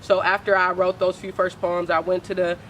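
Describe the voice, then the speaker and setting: A young woman talks in a casual interview, candid and loose with slang and profanity. Her voice is deep for a woman but carries a bright, tense edge. She speaks as a poet guest. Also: flat delivery, fairly quick, slight background noise, rough recording. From Oakland, California.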